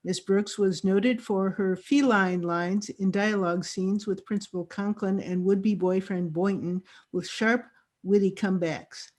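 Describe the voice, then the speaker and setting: A woman's voice: mid-range voice, steady tone, a little slow, darkish tone. A woman announcer giving scripted narration to introduce a radio play performance. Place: recorded remotely on a video call.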